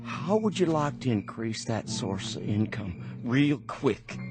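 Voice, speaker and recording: A man's voice: mid-range voice, big pitch swings, slow. An actor playing a plain-spoken, insistent and anxious townsman in a radio drama. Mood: tense, bargaining. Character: blunt, anxious townsman haggling over his dead brother. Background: music.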